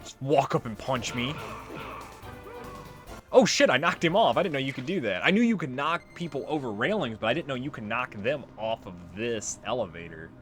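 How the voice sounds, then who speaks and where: A man's voice: medium pitch, big pitch swings, normal speed. A man giving casual let's play commentary on a video game, wry and freely swearing. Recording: voice over game music. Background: game music.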